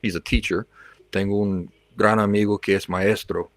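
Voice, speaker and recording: A man's voice: fairly low pitch, little pitch movement, normal speed, dry recording. A man hosting a livestream, chatting casually with viewers and reacting to their comments, sometimes switching into learner's Spanish. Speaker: livestream host.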